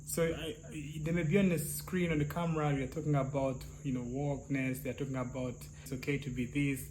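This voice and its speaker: A man with a soft, slightly high voice, thinking aloud in casual discussion with many restarts and "yeah?" tags. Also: level tone, a little fast. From Uganda. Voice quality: soft, somewhat high-pitched voice. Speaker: clinical psychologist, co-host. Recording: noisy, crickets and hum.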